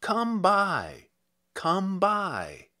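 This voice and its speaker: Man voicing singsong goblin fruit hawker, high and enticing. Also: wildly swooping pitch, bright, forceful tone, heavily acted. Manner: chanting, drawn-out street-cry delivery. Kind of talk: dramatic poetry reading.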